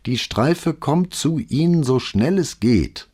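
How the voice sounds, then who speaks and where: A man voicing an unbothered male police dispatcher who answers flatly. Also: fairly high pitch, slow pace, breathy edge, pitched above the performer's own voice, heavily acted. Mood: unmoved. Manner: flat and unbothered.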